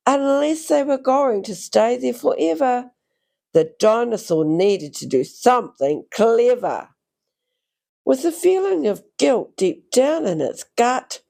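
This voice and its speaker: Woman reading to children, slow and lively. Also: high voice, vocal fry. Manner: theatrical, drawn-out phrasing for young children. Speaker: children's story reader. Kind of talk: expressive read-aloud of a rhyming picture book.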